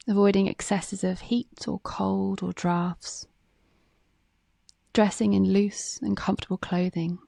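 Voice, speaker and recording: A woman reading a guided meditation script in a calm, gentle, measured way, with phrases that soften at the ends. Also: fairly low pitch, gravelly, no room sound.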